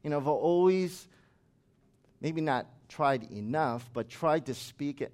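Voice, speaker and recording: A male pastor delivering a sermon with a voice pitched a bit above most men's. He preaches conversationally, breaking into chatty asides. He grew up in the United States. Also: expressive, slow pace, a little mellow.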